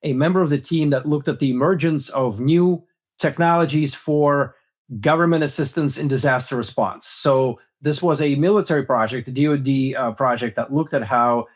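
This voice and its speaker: A man speaks as a guest expert. His delivery is measured and analytical, and his pitch sits in a middle range. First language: Russian. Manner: measured, explanatory, uses "right?" as a check. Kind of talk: analytical interview talk.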